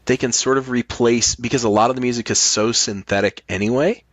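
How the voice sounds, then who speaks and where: A man's voice: mid-range voice, steady tone, brisk, dry recording. A man in his late forties chatting casually and candidly as a podcast guest, swearing freely. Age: late 40s. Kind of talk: casual chat.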